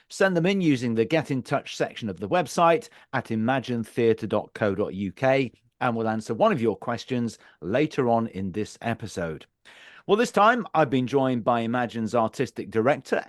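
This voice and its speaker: A man hosting a podcast, introducing and interviewing in a measured, articulate presenter's delivery. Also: medium pitch, dry recording, studio quality. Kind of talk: scripted introduction and interview questions.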